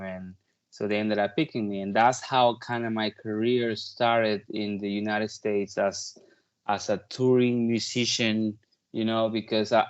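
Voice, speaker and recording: A man's voice: fairly low pitch, lo-fi sound. A man in his thirties from Peru, a native Spanish speaker, chatting casually and talkatively as an interview guest. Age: 30s. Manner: chatty, peppered with "like" and "you know". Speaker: interview guest, touring drummer.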